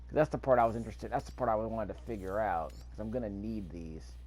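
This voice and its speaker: A man's voice: lowish voice, fast, darkish tone, slight background noise. A man giving casual, off-the-cuff gameplay commentary, musing aloud and repeating his phrases. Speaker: gaming video host. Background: faint video game ambience.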